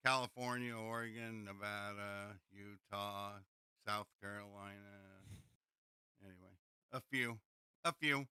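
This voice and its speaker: A middle-aged American man in casual podcast talk. His voice is forward and bright, recorded close and dry, and he speaks haltingly, doubling back on his words. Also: on the low side, steady tone, smooth, no room sound. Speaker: podcast co-host. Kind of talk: casual chat.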